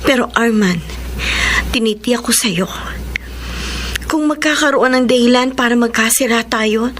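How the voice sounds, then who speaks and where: Actress voicing a young bride-to-be, high, emotional, faint hiss behind. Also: female voice, measured pace, lightly acted. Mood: upset. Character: young bride-to-be, earnest and proud. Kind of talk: classic radio drama acting.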